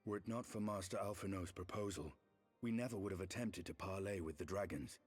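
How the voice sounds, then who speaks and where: An actor playing a grave, battle-worn fantasy knight in a video game, speaking in measured, formal archaic phrasing. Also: male voice, deep voice, slightly rough. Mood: grave, reflective. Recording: game audio with music behind.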